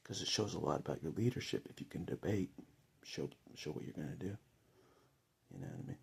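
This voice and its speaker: Deep, very husky, gravelly American man. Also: sing-song, fairly quick. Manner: chuckles often, jokey, conversational. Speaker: vlogger giving political opinion. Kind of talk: casual rambling monologue to camera.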